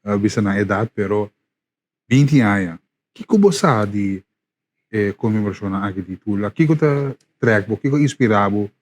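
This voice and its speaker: A man hosting a live morning radio show, chatting casually with many fillers and repeats. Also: on the low side. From Curaçao. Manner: lively, repetitive, halting with fillers.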